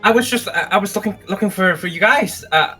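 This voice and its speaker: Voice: mid-range voice, brisk, bright, forceful tone, slightly rough, rough recording. A performer voicing a flustered, guilty young magic apprentice in an improvised game roleplay, stammering and hesitant, with music behind. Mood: flustered, defensive. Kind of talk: improvised Minecraft roleplay acting.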